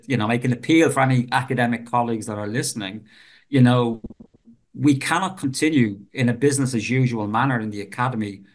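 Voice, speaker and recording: A man in his fifties with a Dublin Irish accent, a professor talking earnestly as a podcast guest and often repeating words as he starts a sentence. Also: on the low side. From Ireland. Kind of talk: podcast interview conversation.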